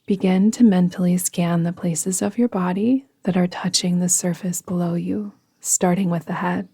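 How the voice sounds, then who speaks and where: A woman guiding a yoga nidra meditation in a soothing, gentle, deliberate manner, often trailing off into a whisper. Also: lowish voice, steady tone, breathy edge. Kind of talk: guided meditation narration.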